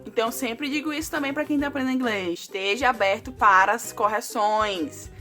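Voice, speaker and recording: A woman's voice: on the high side, brisk. Chatty Brazilian woman vlogger, faint music. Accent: Brazilian Portuguese. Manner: chatty, addresses viewers as "gente", frequent "né" and "sabe". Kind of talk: casual chat to camera.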